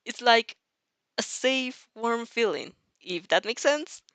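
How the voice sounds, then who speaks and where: Woman voicing a bright, playful young vampire girl. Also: on the high side, animated, takes it slowly, no room sound. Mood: tender, sincere. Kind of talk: audio fanfic dubbing.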